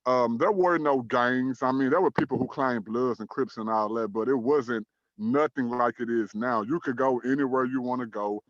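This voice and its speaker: An earnest man in his thirties from Dallas, Texas, hosting an online talk show. He talks in a measured way with many "um"s, and his mid-pitched voice sounds dry and a little muffled. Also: no room sound. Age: 30s. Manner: measured, earnest, uses fillers like "um" and "uh". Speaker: host.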